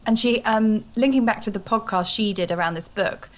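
A woman's voice: mid-range voice, moderate pace. A British woman in her thirties chatting informally and animatedly as she co-hosts a casual book discussion. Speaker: podcast co-host.

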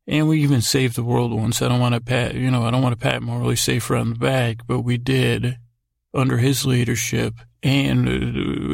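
Male bedtime podcast host: heavy vocal fry, narrow pitch movement, halting and digressive delivery. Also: dry recording, studio quality. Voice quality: heavily creaky, gravelly. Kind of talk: rambling bedtime podcast monologue.

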